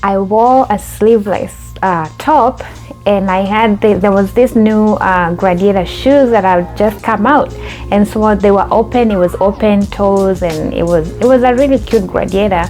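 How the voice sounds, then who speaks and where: A woman's voice: mid-range voice, measured pace, darkish tone, faint music behind. A young adult woman from Kenya hosting her own vlog, telling a story in a chatty way with lots of fillers and restarts. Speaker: YouTube host.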